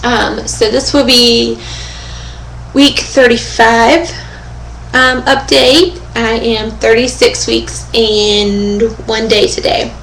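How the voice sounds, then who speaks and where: A young woman with a hoarse, fading voice, chatting casually in a webcam update from home and often pausing with fillers and throat clearing. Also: a little high, fairly even intonation, fairly bright tone, a little hiss or hum. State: hoarse, losing her voice. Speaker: vlogger. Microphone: webcam microphone. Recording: echoey room on a webcam.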